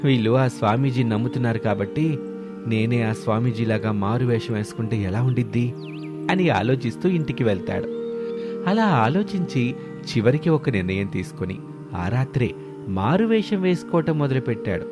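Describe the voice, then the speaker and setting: Guy reading a village moral story, middle pitch, bit of music underneath. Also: expressive, normal speed. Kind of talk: scripted moral story narration.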